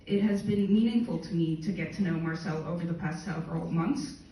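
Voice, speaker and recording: A woman reading a prepared conference talk in a measured, formal way. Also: fairly low pitch, mellow, slight background noise. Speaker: conference speaker. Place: conference hall. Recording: very echoey hall from far off.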